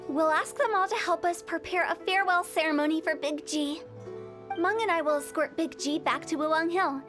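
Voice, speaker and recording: A woman's voice: extremely high pitch, normal speed. A woman playing a quirky, confident young funeral director in an anime-style game, playful and self-assured. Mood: decisive. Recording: faint music behind the voice.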